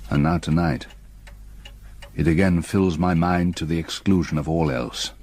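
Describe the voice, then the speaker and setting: An actor playing a middle-aged, haunted and reserved English gentleman stepfather in a radio drama, with a husky voice and formal, hesitant manner. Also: low voice, fairly even intonation, unhurried. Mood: haunted dread.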